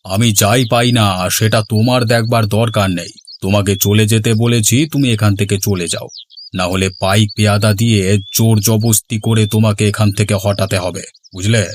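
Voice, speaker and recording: A man voicing a stern, greedy village headman in a cartoon, with a measured, authoritative and cold manner. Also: lowish voice, fairly even intonation, a little hiss or hum, lightly acted. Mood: threatening. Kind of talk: Bengali cartoon voice acting. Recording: background music under the voice.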